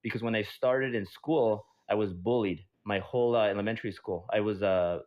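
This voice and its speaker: Halting low-voiced man, lo-fi audio. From United States. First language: Spanish. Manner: halting, earnest, many fillers. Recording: dull lo-fi audio.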